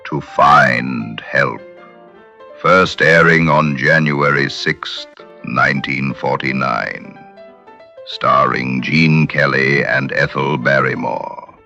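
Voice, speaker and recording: A man narrating a scripted commentary on an old radio show, slow and deliberate. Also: very deep voice, vocal fry, sharp tone, muffled recording, soft background music. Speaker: podcast host.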